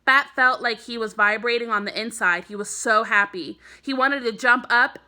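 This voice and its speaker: A woman teacher narrating a children's book aloud, telling the story clearly for young listeners. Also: fairly high pitch, normal speed, pushed and bright. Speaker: elementary school teacher.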